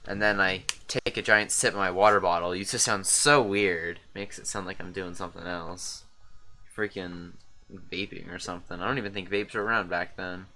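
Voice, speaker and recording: Deep-voiced young man rambling casually. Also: fairly even intonation, normal speed, sharp tone, soft background music. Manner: rambling, self-deprecating, chuckling often. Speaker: streamer. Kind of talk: casual commentary over an old stream.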